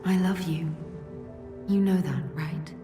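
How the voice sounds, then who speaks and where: A woman playing a calm, caring noble leader with a gentle, direct manner in video game dialogue. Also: on the low side, takes it slowly, over music. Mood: affectionate.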